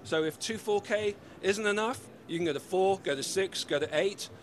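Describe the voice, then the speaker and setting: A man's voice: high-pitched, moderate pace, slight background noise. A man in his forties from the UK with a slightly mixed British accent, explaining products with upbeat, salesy energy in a trade-show interview, speaking into a handheld mic in a convention hall. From United Kingdom. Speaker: company representative. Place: busy convention hall booth. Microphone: handheld mic held by interviewer.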